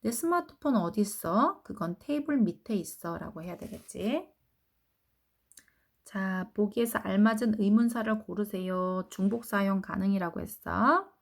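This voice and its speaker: Slow gravelly-voiced woman teacher. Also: medium pitch. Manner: explains slowly, reads English sentences very slowly and repeats them in Korean. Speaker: English teacher. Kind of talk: grammar lesson for children. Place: academy lecture recording.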